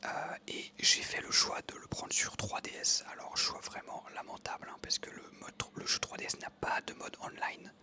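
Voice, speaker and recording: A young adult ASMR creator, telling a story in a soft unvoiced whisper that meanders with many fillers. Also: normal speed, few pauses.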